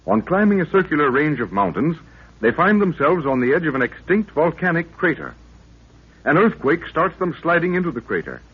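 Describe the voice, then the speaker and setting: Ominous old-time radio narrator, tinny and husky. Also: male voice, on the high side, very animated. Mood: dramatic recap. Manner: dramatic, ominous, measured storytelling. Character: solemn storyteller of an African jungle mystery serial. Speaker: announcer and narrator. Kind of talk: 1930s radio serial narration. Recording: tinny, muffled 1930s radio recording.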